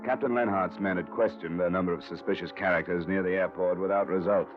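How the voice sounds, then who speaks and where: An American actor playing a cool, probing, hard-boiled insurance investigator in a terse 1950s radio detective style. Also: male voice, sits low, steady tone, fast talker, lightly acted. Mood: terse, matter-of-fact. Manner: terse, matter-of-fact, probing. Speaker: lead actor and narrator. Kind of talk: 1950s radio detective acting, clipped noir narration. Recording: dull vintage 1950s radio broadcast, music behind.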